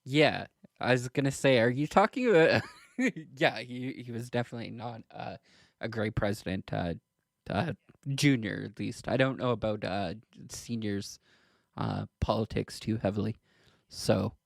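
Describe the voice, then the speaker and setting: A man's voice: fairly low pitch, measured pace, vocal fry, no room sound, clean studio sound. A man from Canada co-hosting a podcast, talking politics casually in a hesitant way, stumbling over his words. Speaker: podcast co-host.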